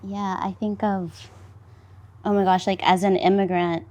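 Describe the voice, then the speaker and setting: A woman's voice: medium pitch, little pitch movement, unhurried, dark tone. A woman born in El Salvador and raised in North Carolina, talking on a panel in a chatty, casual way. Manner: chatty, lots of "like" and "you know". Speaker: panelist, business founder.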